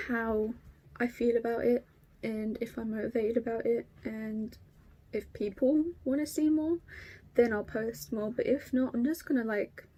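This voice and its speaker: A woman's voice: fairly high pitch, mellow. A woman in her twenties with an Estuary English accent, from Kent, chatting to camera about her craft in a rambling, sweary way. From Kent, England. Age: 20s. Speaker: craft vlogger. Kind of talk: casual chat to camera.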